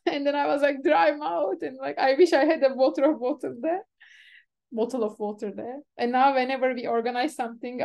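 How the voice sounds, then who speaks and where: A woman whose first language is Turkish, hosting a webinar and chatting quickly with many fillers over a video call. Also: high-pitched, darkish tone. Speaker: webinar organizer. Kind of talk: casual webinar chat.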